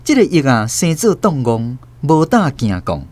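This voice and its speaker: A man's voice: on the high side, big pitch swings, normal speed, darkish tone. A man with a Taiwanese accent, telling a story as the narrator of a radio drama. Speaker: host and narrator.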